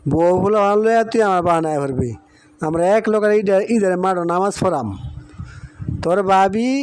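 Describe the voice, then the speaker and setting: Man voicing a high-pitched, chatty Bangladeshi villager. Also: a little mellow, clean tone, no room sound, close to an everyday voice. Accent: rural Bangladeshi Bengali. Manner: chatty, insistent, colloquial. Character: cheerful, hospitable village husband, boastful about his cattle. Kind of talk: amateur cartoon dialogue.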